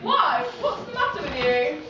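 A woman's voice: high-pitched, sing-song, normal speed, muffled recording, a crowd in the background. A woman in an amateur pantomime playing an earnest, defiant young male naturalist, projecting theatrically. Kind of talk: amateur pantomime stage acting. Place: stage in a large theatre hall. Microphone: far camera. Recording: distant camera in echoey hall.

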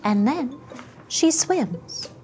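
A woman storyteller speaks to young children in deliberate picture-book style. Her voice is fairly high and very expressive. She takes her time over the phrases. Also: soft background music.

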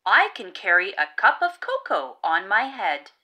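A boastful storybook cat, read by a woman, competitive and emphatic. The voice is bright and leaps up and down in pitch with every dare. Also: huge pitch swings, unhurried, full character voice. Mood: boastful, daring. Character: competitive, boastful young copycat cartoon cats.

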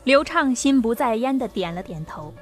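A woman's voice: a little high, big pitch swings, normal speed, faint music behind. A woman narrator reading a scripted story in an audiobook style.

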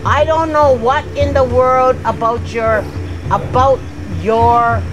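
Older woman, high-pitched, insistent and scolding, outdoor roadside, music over it. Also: steady tone, slow pace, highs cut off. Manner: insistent, scolding, pressing questions. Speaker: mother defending a family burial site. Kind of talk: heated confrontation. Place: outdoors by a rural road.

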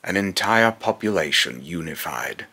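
A very low, gravelly god of fear and loss, acted by a young man. He is a vengeful male tyrant who delivers solemn, pompous villain pronouncements slowly, with a forceful, rough edge. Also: lively intonation, exaggerated voice. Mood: bitter, scornful. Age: young adult. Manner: slow, pompous, solemn, repeats phrases in retakes. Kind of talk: scripted villain monologue, voice-acting takes. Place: small indoor room at home.

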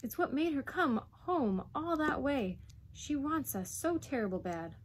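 Woman as a high, pleading young schoolboy. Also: big pitch swings, a little slow, a little hiss or hum. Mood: desperate pleading. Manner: urgent, imploring, words racing. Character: earnest pleading working-class schoolboy, desperate and sturdy.

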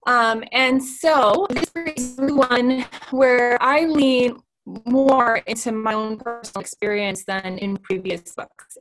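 A woman's voice: mid-range voice, rough recording. A woman in her thirties, an author speaking earnestly about her novel on a video call from a room with bookshelves. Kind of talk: author talk on a panel.

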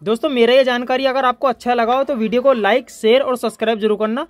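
A man's voice: very high-pitched, fairly even intonation, fast talker, no room sound. A man from India, presenting an informal hands-on electronics tutorial as its host.

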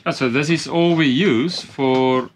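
A man's voice: mid-range voice, a little slow. A man in his forties in casual conversation, recorded by a camera in the covered open cockpit of a boat. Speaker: boat owner, vlog regular. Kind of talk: casual chat. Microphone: camera a few feet away. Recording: clear background noise and clicks, outdoors.

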